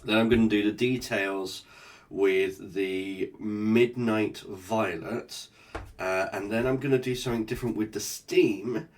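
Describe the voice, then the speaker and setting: A man's voice: lowish voice, unhurried. A man with a stuffy cold, chatting casually as he talks through a painting. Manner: relaxed, chatty, explains as he paints. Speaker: art channel host. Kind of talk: casual art tutorial narration.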